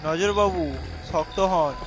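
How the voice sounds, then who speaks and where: A man playing a cautious, steady companion on a ghost hunt in a horror cartoon, earnest and questioning. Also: high voice, takes it slowly, rough recording. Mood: earnest, alert. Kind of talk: animated horror story dialogue. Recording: loud music behind the voice.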